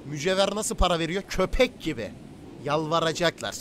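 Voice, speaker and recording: Bossy, excitable male gamer, quick delivery, noisy recording, casual roleplay banter. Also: a little high. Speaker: gaming video host and gang boss in roleplay. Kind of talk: casual in-game roleplay chat.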